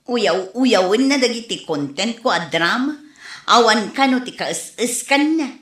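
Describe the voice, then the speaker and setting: A woman's voice: on the high side, expressive, unhurried, fairly bright tone, roomy sound. A woman with a Filipino Ilocano accent acting a feisty village wife in a comedy drama. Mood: disapproving.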